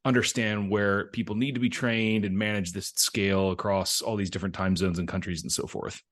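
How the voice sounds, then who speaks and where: A man's voice: fairly low pitch, steady tone, brisk. A middle-aged man, a tech executive and interview guest, explaining things conversationally.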